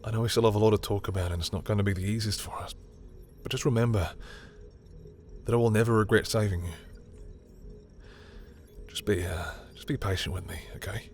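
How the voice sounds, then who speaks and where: A man acts out a lovestruck villain with a lowish voice, proud and guarded yet tender. He speaks intimately and haltingly to one listener, as in a dramatic romantic audio roleplay, with music behind him. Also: fairly even intonation, darkish tone, close to an everyday voice. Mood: soft, affectionate. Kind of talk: intimate second-person romantic roleplay acting.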